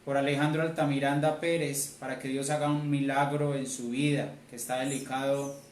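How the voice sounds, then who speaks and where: A man's voice: medium pitch, little pitch movement, moderate pace. Emphatic Colombian male preacher in a roomy space. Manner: emphatic, repeats key phrases. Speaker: church elder preaching. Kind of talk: sermon. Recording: roomy, distant mic, faint noise.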